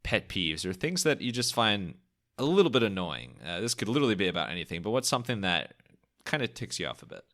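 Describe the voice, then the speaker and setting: Young guy hosting a podcast, real low voice but bright, joking around a lot. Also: big pitch swings, quick pace. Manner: upbeat, jokey, laughs easily. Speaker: podcast host. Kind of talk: casual interview hosting.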